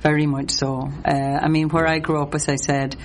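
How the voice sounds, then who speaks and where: A middle-aged Irish woman from Donegal, a native Gaelic speaker, talks through her roots as a singer in a casual interview. She pauses, restarts and gathers her thoughts as she speaks. A light hiss of noise sits under her voice. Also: low voice, flat delivery. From Donegal, Ireland. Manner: hesitant, restarts often, reflective.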